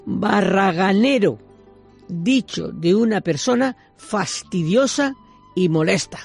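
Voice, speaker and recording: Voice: mid-range voice, lively intonation, takes it slowly, vocal fry, breathy edge, soft background music. A speaker explaining regional words in casual radio chat, emphatic and often repeating words. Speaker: radio word-segment contributor.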